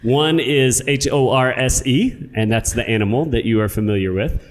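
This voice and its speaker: An American man co-hosts a podcast, speaking at an even middle pitch. He is playful and spells things out patiently and clearly. His voice carries a long room reverb. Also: normal speed. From United States. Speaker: co-host, English teacher. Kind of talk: casual podcast chat.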